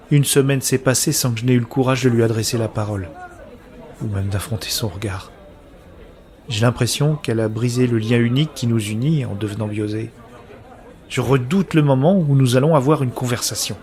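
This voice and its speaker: An actor playing a brooding, anguished man who narrates his own science-fiction story in measured, literary, introspective phrasing. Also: mid-range voice, a little mellow, some chatter behind, close to an everyday voice. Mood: troubled, brooding. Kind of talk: scripted first-person narration of sci-fi audio drama.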